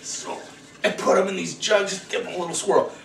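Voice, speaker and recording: A man's voice: sits high, a little fast, creaky. A middle-aged American man hosting a casual wine review, chatting to camera with rambling asides in a small indoor room. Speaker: wine reviewer and show host. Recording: roomy, distant mic, slight noise.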